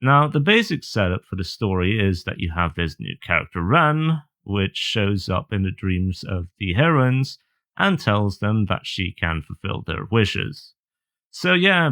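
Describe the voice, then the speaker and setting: A man with a fairly low voice reviews media in a semi-scripted commentary style. He speaks bluntly, with hesitant filler-laden phrasing as he lays out his opinions. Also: very animated, a little slow, fairly bright tone. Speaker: video reviewer of anime visual novels.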